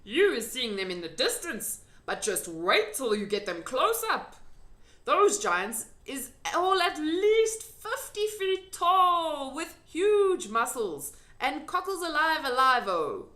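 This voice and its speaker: A woman voicing a friendly old male giant in a children's storybook, excitable and emphatic, with quaintly muddled grammar. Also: sits high, slow pace, voice pushed up, full character voice. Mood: animated explaining. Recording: echoey room.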